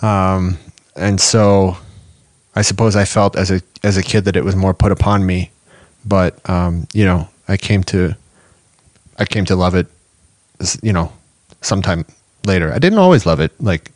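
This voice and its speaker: A reflective Canadian man, lowish in pitch, as heard on a dry-sounding podcast where musicians casually swap memories. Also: normal speed, long pauses, darkish tone, no echo. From small-town Manitoba, Canada. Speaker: orchestral violinist, podcast guest. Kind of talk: casual reminiscence.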